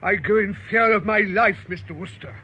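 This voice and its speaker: An actor playing a pompous, fearful older gentleman, speaking in grandiose phrases in a radio comedy drama. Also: sits high, moderate pace, slightly rough, highs cut off, exaggerated voice. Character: pompous, frightened old English gentleman, easily alarmed.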